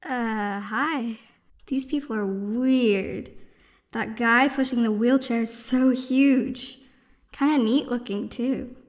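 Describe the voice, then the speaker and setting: A woman's voice: on the high side, slow pace. A woman playing a curious, candid young teenage girl who speaks in a casual youthful way in an audio drama. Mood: shy, curious.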